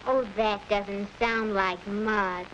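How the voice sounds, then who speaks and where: A young actress playing a curious, inquisitive little sister in a 1940s educational film. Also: female voice, medium pitch, fairly even intonation, takes it slowly, a bit bright. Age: child or young teen. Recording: muffled, noisy 1940s film soundtrack.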